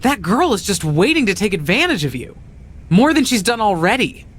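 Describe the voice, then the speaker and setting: A wrestling manager who gives blunt, protective advice in a game story scene. She is pointed and sardonic, with a medium-pitched voice. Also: big pitch swings, normal speed, husky. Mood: firm, warning. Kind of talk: video game cutscene dialogue.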